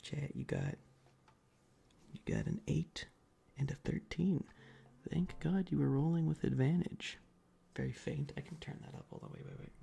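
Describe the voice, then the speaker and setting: A soft-spoken, partly whispering ASMR streamer chatting casually and playfully with viewers into a close microphone, often repeating phrases. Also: mid-range voice, moderate pace, gravelly, pauses often, breathy edge. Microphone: close adjustable microphone.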